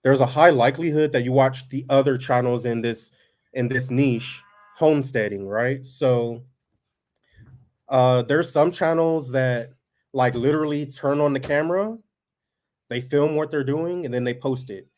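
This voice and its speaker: Monotone man chatting on a vlog. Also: mid-range voice, unhurried. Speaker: family farm vlogger, host. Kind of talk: casual vlog chat.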